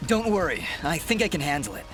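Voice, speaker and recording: A man's voice: fairly high pitch, huge pitch swings, fast, low-quality recording. A man voicing an earnest, resolute young swordsman hero in a video game, protective and determined. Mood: reassuring. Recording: music behind the voice.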